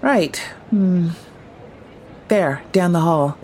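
A woman's voice: fairly low pitch, drawn-out words, a little mellow, slight background noise. A woman acting a guarded but kindly companion in an audio drama, with music under her voice. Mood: wry, brisk.

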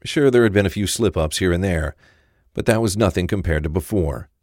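A man's voice: deep voice, a little fast, a little mellow, dry recording, studio quality. A man narrating a scripted crime audio drama.

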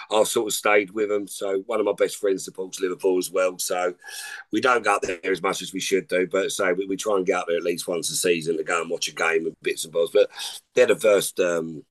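Middle-aged man, deep voice, casual rambling podcast guest. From England. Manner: rambling, informal, repeats "like I say" and "listen". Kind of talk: casual chat.

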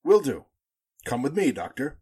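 A man's voice: medium pitch, sing-song, normal speed, darkish tone, husky. A man playing a chatty, wisecracking radio announcer in a 1940s-style radio drama. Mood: chatty, joking. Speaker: actor in an amateur radio drama re-creation.

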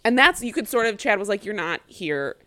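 A woman's voice: mid-range voice, sing-song, brisk, fairly bright tone, no room sound. A young woman co-hosting a podcast, chatting casually and animatedly, swearing freely and acting out what people said. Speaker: podcast co-host. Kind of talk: casual chat recapping a reality show.

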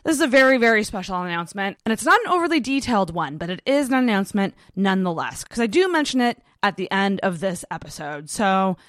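A woman's voice: medium pitch, big pitch swings, quick pace, few pauses, fairly bright tone, no room sound, studio quality. A woman hosting a podcast in a casual, chatty way, with a big voice, gushing and swearing freely. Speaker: podcast host.

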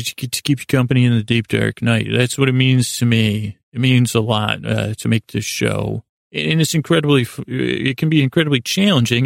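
A man hosting a sleep podcast, talking in a gentle, meandering, halting way that keeps interrupting itself, close on the microphone. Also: mid-range voice, dry recording. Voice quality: creaky, gravelly. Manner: meandering, halting, self-interrupting with false starts. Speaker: podcast host. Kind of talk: rambling bedtime monologue to help listeners sleep.

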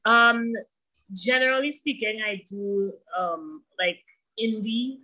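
Bright-voiced woman guest. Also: fairly high pitch, slow, rough recording. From Trinidad and Tobago. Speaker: guest musician. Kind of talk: casual interview chat.

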